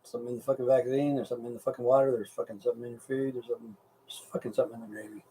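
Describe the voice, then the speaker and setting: Middle-aged or older American male streamer, mellow and relaxed tone, blunt folksy rambling with plenty of swearing. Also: mid-range voice, very fast, far from the mic, a little hiss or hum, low-quality recording. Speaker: live stream host. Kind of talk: casual rambling chat with viewers.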